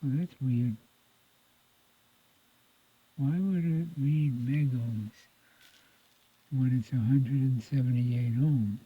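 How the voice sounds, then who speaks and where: Guy mumbling thru a repair job, kinda husky, folksy, thinking out loud, tinny lo-fi phone sound. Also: mid-range voice, no room sound. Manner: informal, folksy, halting mutter while working. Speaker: radio repair hobbyist presenting own repair video. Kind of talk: hands-on repair demonstration, thinking aloud. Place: indoors at a repair workbench. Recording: muffled phone-like audio, lo-fi.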